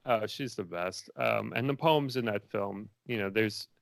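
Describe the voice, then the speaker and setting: A man's voice: fairly low pitch, measured pace, vocal fry, dry recording. A man answering interview questions as a filmmaker in a rambling, informal way full of filler phrases. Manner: rambling and informal, many likes and you knows.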